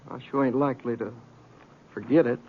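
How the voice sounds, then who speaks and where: An actor playing a stubborn, vain Western outlaw with a needling, folksy rustic drawl in a 1950s radio western. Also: male voice, medium pitch, a little fast, phone-quality sound, slight background noise, lightly acted. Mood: accusing. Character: sly, stubborn, vain wounded male outlaw. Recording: thin, band-limited old radio recording.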